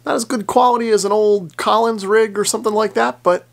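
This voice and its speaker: Man, American, forties, slightly high voice, creaky, casual to-camera explanation, self-deprecating. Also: fairly even intonation, a little fast. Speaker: ham radio vlogger. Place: basement radio workshop indoors.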